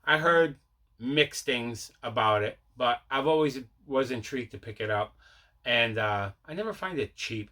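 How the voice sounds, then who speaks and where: A man's voice: medium pitch, animated, normal speed, pushed and bright. A man hosting a casual mail-day video about his movie collection, talking in a repetitive, enthusiastic way.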